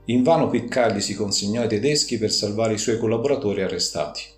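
A man's voice: lowish voice, quick pace. A man reading a scripted historical narration as a podcast contributor. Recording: roomy echo, faint music underneath. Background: faint music.

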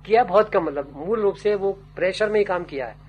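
Older man musing, dull taped sound. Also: high-pitched. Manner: slow, musing, trailing off with fillers. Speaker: writer and poet interviewee. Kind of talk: reflective interview answers. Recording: dull old tape with faint hum.

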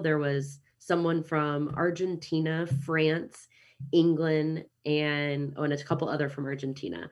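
Podcast guest: American woman, early thirties, low husky voice, upbeat and chatty. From Kansas City, USA. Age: 30s. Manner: upbeat, animated, chatty with many restarts. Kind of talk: casual interview chat.

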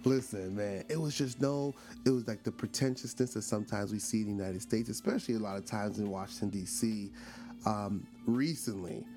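A man's voice: fairly low pitch, a little fast, dark tone, faint music behind. A man in his forties from Brooklyn, hosting a casual storytelling monologue, chatty with lots of fillers and restarts, often turning to ask his listeners questions. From Brooklyn, New York. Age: 40s. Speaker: podcast host.

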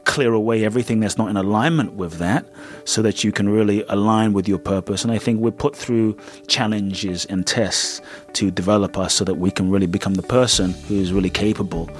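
A man's voice: lowish voice, fairly even intonation, a little fast, faint music behind. A man from Ghana, a podcast guest telling personal stories in an earnest, reflective way. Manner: earnest, reflective, vivid storyteller. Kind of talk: interview storytelling.

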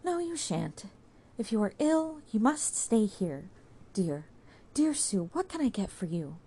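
A woman's voice: medium pitch, big pitch swings, measured pace, warm tone, husky, pitched above the performer's own voice, full character voice. A woman reading as a tender earnest young man. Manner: tender, urgent.

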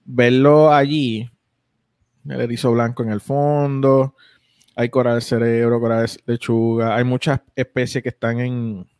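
A man's voice: mid-range voice, flat delivery, a little slow, a little mellow, clean tone, no room sound, clean studio sound. A young man hosting a casual podcast, rambling with lots of fillers and restarts. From United States. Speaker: podcast host.

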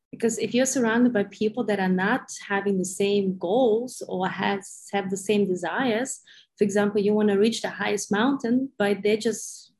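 A woman from Ukraine, a coaching guest on a podcast, talking warmly and encouragingly with slightly phrasing. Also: mid-range voice. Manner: warm, encouraging, slightly non-native phrasing. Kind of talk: conversational interview.

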